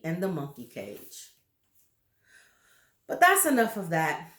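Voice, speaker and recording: Slow, expressive female read-aloud narrator; roomy, echoing recording. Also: lowish voice. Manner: expressive, showing pictures to listeners. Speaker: storybook reader. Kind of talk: children's book read-aloud.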